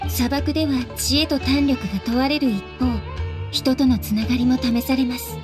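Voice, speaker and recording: A woman playing a gentle, dignified young desert guardian in a video game, polite, composed and soothing. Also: high voice, steady tone, normal speed, over music, close to an everyday voice. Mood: serene.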